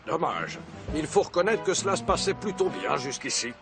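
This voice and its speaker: A man's voice: fairly high pitch, sing-song, moderate pace, gravelly. A man voicing a fussy, stern senior officer in a cartoon dub, formal in manner. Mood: disappointed. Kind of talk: animated cartoon dub acting. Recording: loud music over the voice.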